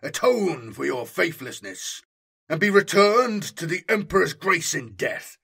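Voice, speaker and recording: A man voicing a stern, merciless warrior chaplain in a sci-fi audiobook, booming, commanding and solemn. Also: sing-song, a little slow, gravelly, sharp tone, husky, heavily acted. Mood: booming condemnation. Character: stern, sepulchral male armored warrior chaplain, merciless zealot. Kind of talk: dramatic sci-fi audiobook character acting.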